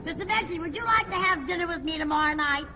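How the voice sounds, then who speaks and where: A woman's voice: very high voice, fast talker, in a large hall, very noisy. An actress playing a naive, dreamy female quiz panelist in a 1940s radio comedy. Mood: sweet. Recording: dull, distant old radio recording.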